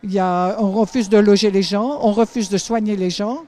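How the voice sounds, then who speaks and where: A woman's voice: medium pitch, fairly even intonation, brisk, mellow. A female activist at a protest giving a street interview, hesitant and repeating words. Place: outdoor street rally.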